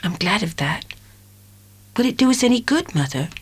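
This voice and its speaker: A woman playing an earnest, sensitive teenage country girl in an audiobook drama, breathy and emotional. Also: mid-range voice, lively intonation, moderate pace. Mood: anxious.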